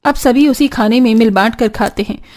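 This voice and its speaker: High-voiced woman narrating a story. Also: moderate pace, darkish tone. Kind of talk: scripted storytelling narration for a cartoon.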